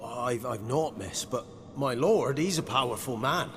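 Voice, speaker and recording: A man's voice: medium pitch, lively intonation, measured pace, in a large hall, faint music behind, lightly acted. An actor playing a humble, folksy peasant man in a fantasy game, hesitant, deferential and eager to please. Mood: impressed, deferential. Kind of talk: cinematic fantasy game acting.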